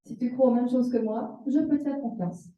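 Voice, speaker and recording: High-voiced woman interpreter in echoey room. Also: brisk, dark tone. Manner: echoes the speaker's examples expressively, with self-corrections. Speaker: live interpreter. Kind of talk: consecutive interpreting of a seminar talk. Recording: roomy, slightly dull sound.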